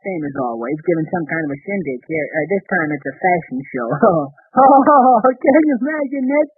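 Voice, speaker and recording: A man playing an excitable, bumbling young husband in a 1930s radio comedy, sputtering and hesitant. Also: fairly high pitch, moderate pace, slightly rough, no room sound. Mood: scornful, amused. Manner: sputtering, hesitant, easily flustered. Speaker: sitcom husband. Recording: muffled, narrow-band 1930s radio recording.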